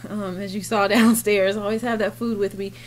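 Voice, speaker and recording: Medium-pitched woman, faint venue noise. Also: flat delivery, moderate pace. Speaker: surgeon and competitor, interview guest. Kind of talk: casual, explanatory interview answers. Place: indoor backdrop set at a competition venue. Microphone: interviewer's handheld microphone. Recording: noisy event venue ambience.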